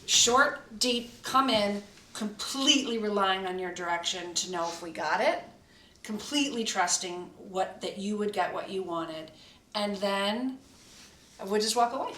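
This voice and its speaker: A woman voice actor reflecting on her preparation in a relaxed behind-the-scenes chat, pausing often to find her words. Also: mid-range voice. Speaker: voice actor in the radio play. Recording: roomy echo, distant mic, faint noise.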